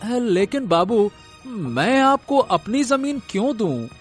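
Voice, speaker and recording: Man playing flustered cartoon farmer, extremely high pitch, timid, servile, pleading, music behind. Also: sing-song, slow pace, heavily acted. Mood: deferential. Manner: pleading, stammering when flustered. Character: timid, deferential poor farmer father, comic and flustered.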